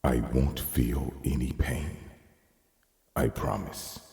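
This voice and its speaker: A man playing a huge, solemn gargoyle in a fan fiction audio drama, speaking gravely and tenderly with a gravelly voice. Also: big pitch swings, mellow, exaggerated voice. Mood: gentle urging. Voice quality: very deep, gravelly. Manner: slow, grave, pleading. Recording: long cavernous reverb.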